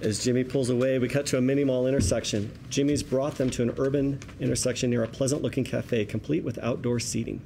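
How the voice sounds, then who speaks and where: A man's voice: mid-range voice, fairly even intonation, quick pace, vocal fry, few pauses, mellow, a little hiss or hum. A man reading stage directions aloud as scripted narration of screenplay action.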